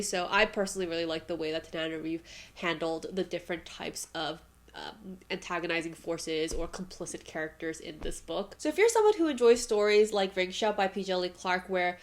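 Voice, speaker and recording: A woman's voice: lowish voice, brisk. A woman with a stuffy, nasal voice from allergies, chatting casually about a book she just finished, laughing lightly now and then. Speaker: book vlogger. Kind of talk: casual book review to camera.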